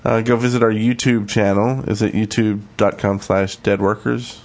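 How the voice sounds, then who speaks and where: A man with a fairly low voice co-hosts a gaming podcast. His delivery is informal and conversational, like everyday chat among fellow players. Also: little pitch movement, moderate pace, a little mellow. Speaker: podcast co-host.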